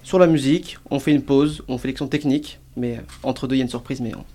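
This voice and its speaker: A man's voice: mid-range voice, steady tone, quick pace, warm tone. A young man presenting a rap radio show in a chatty, playful, teasing way. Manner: playful, teasing banter with guest and co-hosts.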